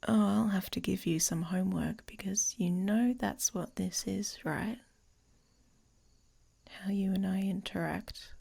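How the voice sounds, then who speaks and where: A woman playing a dominant, teasing female werewolf in an intimate ASMR audio roleplay, with a breathy, whispery voice and a commanding manner. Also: medium pitch, measured pace, warm tone, exaggerated voice. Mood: seductive, commanding.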